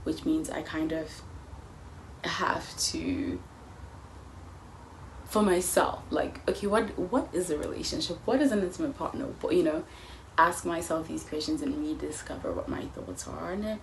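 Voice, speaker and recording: A woman's voice: sits low, sing-song, normal speed, vocal fry, slight background noise, rough recording. A woman in her early twenties talking through her thoughts in a personal vlog, earnest and musing, often dropping to a near-whisper. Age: 20s. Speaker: vlogger.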